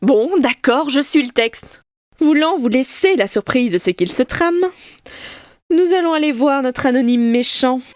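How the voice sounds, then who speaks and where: A woman's voice: sits high, a little slow, darkish tone, dry recording. A woman playing a wry, teasing storyteller, narrating a scripted audio comedy adventure theatrically. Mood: exasperated.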